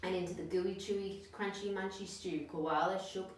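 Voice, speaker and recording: A woman reading a picture book aloud to children in an expressive storytelling style. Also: mid-range voice, moderate pace. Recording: very echoey room, distant mic, noisy.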